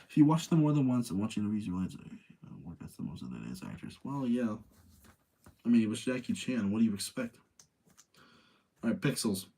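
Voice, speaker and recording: A man's voice: lowish voice, dark tone, breathy edge. A man chatting casually as a livestream host, giving opinionated, rambling commentary and repeating his points for emphasis. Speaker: livestream host reviewing movies.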